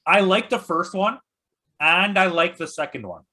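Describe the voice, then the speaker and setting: A man's voice: high-pitched, lively intonation, normal speed, pushed and bright. A man chatting casually and bluntly about films as a podcast host, with exasperated critiques. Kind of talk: casual opinionated chat.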